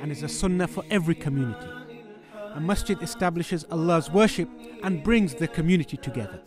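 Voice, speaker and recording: Reverent man narrating over clear music. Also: fairly high pitch, a little mellow. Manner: measured, reverent. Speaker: charity narrator. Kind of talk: scripted narration.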